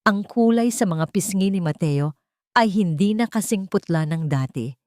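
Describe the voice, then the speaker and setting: A woman's voice: medium pitch, expressive, normal speed, a little mellow, dry recording. Woman narrating drama in close, dry sound. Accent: Filipino. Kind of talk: scripted dramatic audio story narration.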